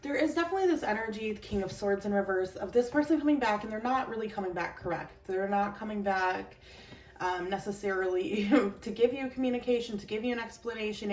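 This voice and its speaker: A woman's voice: fairly high pitch, brisk, a little mellow. A young woman with a slight cold giving a casual, chatty tarot reading to camera, laughing at herself now and then. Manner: chatty, self-deprecating, laughs often. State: slightly sick with an itchy throat and chest cough. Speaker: tarot reader. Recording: roomy echo, mic at a distance, noisy.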